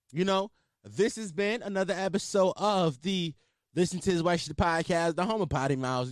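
Male podcast host with a Philly accent, rambling and profane, slightly high voice with a wide, animated pitch. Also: no room sound, studio quality. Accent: Philadelphia. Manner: rambling and profane, full of filler words, laughs at his own jokes. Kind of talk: casual solo podcast chat.

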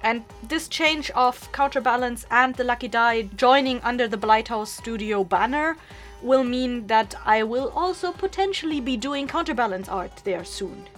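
Female podcast host, higher register, casual chatty announcements with upbeat energy, music underneath. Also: normal speed. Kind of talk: casual scripted announcement to listeners.

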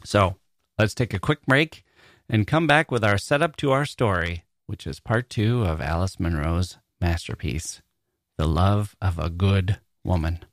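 A man's voice: low-pitched, a little slow, no room sound, clean studio sound. A man hosting a literary podcast solo, talking conversationally and reflectively with informal asides. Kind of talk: conversational solo podcast talk, reading listener mail.

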